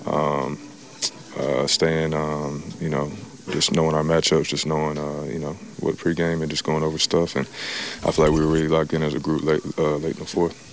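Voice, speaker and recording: A young man, a player giving casual postgame answers in a locker room, relaxed, with casual slang and many fillers. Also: a bass voice, moderate pace.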